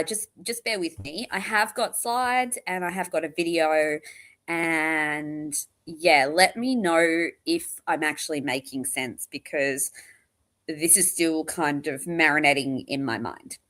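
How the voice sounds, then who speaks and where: A woman hosting a casual livestream, chatty and rambling, her voice croaky and tired, greeting viewers one by one. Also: low voice, expressive, slow pace, a bit bright. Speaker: livestream host.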